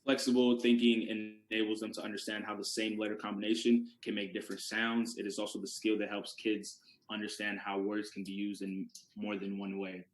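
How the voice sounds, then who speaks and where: Young male student presenter, Yoruba native speaker, lowish voice, casual and chatty, roomy echo. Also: little pitch movement, a little fast, hardly any pauses. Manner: conversational, restarts words, asks rhetorical questions.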